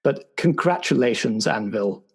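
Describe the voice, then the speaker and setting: A man's voice: medium pitch, lively intonation, unhurried, creaky, husky, heavily acted. A man playing an awkward, apologetic intelligence agency official who stammers officiously through a debrief in an improvised roleplay show. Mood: formal, halting praise. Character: awkward, apologetic male spy agency bureaucrat giving a debrief.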